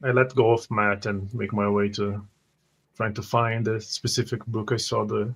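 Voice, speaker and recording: A man playing a tabletop roleplay game, narrating his actions in a halting, word-repeating way. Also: lowish voice, level tone, fairly bright tone.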